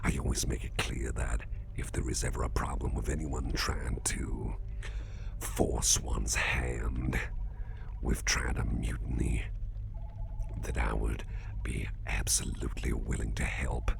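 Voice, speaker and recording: Guy doing a suave interrogator boss for asmr, super low crackly half-whisper, takes his time. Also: exaggerated voice. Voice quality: gravelly, breathy half-whisper. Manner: slow, deliberate, genteel and wordy. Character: suspicious but kindly crime-tinged boss, suave interrogator. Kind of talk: ASMR roleplay, whispered character acting.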